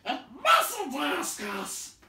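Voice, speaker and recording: Wretched, possessive storybook creature: shrill hissing, slow agitated wailing, wildly swooping pitch, echoey room. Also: male voice, wildly swooping pitch, sharp tone, exaggerated voice. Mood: frantic, distraught. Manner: wailing, drawn-out, agitated repetition. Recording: echoey room, distant from mic.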